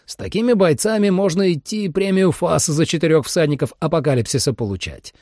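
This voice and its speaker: A man's voice: on the high side, a little mellow, no echo, studio quality, pitched above the performer's own voice, natural voice. A man voicing a veteran monster hunter commander in an audiobook, solemn and emphatic, like an orator. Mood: solemn pride. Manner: deliberate, emphatic, rousing oratory.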